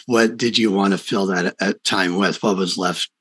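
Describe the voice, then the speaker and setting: A man's voice: deep voice, fairly even intonation, moderate pace, fairly bright tone, slightly rough. A man hosting a conversational interview, asking reflective questions and following along attentively. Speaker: interview host.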